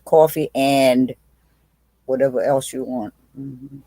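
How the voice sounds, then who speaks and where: A woman's voice: a bass voice, fairly even intonation, takes it slowly, mellow, no room sound. Old lady in her 80s, blunt and witty, restarts a lot, dry close sound. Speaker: guest, aunt. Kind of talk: casual video chat.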